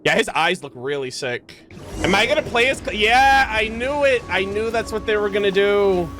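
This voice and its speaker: A woman streamer giving casual, excited commentary on a story game, slangy and profane in her reactions. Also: medium pitch, normal speed, music in the background.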